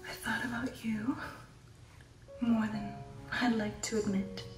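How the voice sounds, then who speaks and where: A woman plays a refined lady vampire in an ASMR roleplay, whispering slowly and intimately in a breathy, fairly high voice. Her speech is genteel and affectionate. The voice sits a little way from the mic, with music underneath. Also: level tone, far from the mic, rough recording. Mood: wistful, predatory. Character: refined, affectionate female vampire recounting an old shipboard romance. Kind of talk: ASMR roleplay, personal attention.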